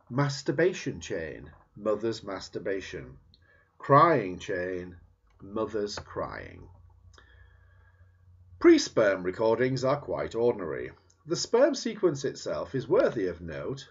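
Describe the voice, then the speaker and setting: Male book reader, slightly husky, very animated intonation, deliberate emphatic phrasing, muffled low-fidelity sound. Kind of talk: reading a book aloud. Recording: dull, lo-fi sound.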